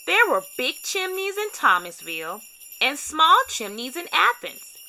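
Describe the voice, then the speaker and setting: A woman reading a children's storybook aloud with expressive, dramatic emphasis. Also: high voice, unhurried, slight background noise, rough recording. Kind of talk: read-aloud scripted narration for kids.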